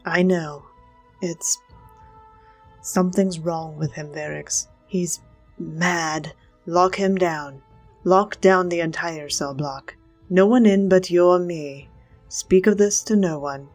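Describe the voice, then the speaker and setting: Woman playing stern troubled commander, lowish voice, slow clipped lines, guarded, radio play. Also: darkish tone, faint music behind. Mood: urgent, commanding.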